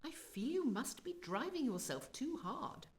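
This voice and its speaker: A woman's voice: high voice, very animated. Refined, firm woman officer. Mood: firm, concerned. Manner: formal, wordy, measured phrasing. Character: refined, judicious female senior space officer, politely firm. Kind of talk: radio play acting.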